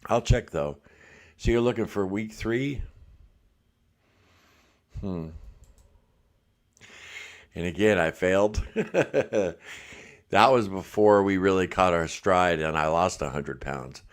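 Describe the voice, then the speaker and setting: Deep-voiced man chatting on a livestream. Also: takes it slowly, long pauses. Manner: relaxed, chatty, laughs often. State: congested, blocked ear. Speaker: livestream host. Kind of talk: casual live chat reading comments.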